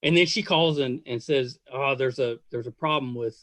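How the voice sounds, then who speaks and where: A man, probably middle-aged, hosting a casual podcast interview, speaking haltingly and effusively with repeated words. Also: mid-range voice, fairly bright tone, no room sound. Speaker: podcast host.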